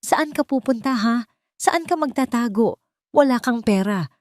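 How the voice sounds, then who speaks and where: A woman's voice: on the high side, expressive, unhurried, a little mellow, no room sound, studio quality, pitched above the performer's own voice. A woman voicing a haughty, rich older mother-in-law in an audio story, taunting and venomous.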